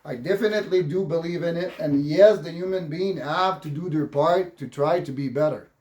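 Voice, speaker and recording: An upset man with a French Canadian accent speaks in a fairly high voice for a male. His English is halting and repetitive, peppered with swearing as he rants off the cuff. The recording is strongly roomy and echoing. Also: darkish tone, dull audio. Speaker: vlogger. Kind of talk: unscripted political rant.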